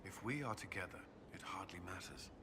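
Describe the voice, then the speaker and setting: An actor playing an earnest, guarded military commander in a video game, speaking in formal phrases with a burdened sense of duty. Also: male voice, sits low, a little fast, long reverb tail, noisy background, natural voice. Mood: subdued, tender.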